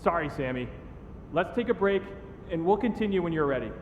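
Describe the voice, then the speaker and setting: A man playing a polite, brisk motion-capture director in a video game, calling out instructions in an echoey, noisy hall. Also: fairly high pitch, animated, muffled recording, lightly acted. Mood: apologetic. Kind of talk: video game cutscene acting.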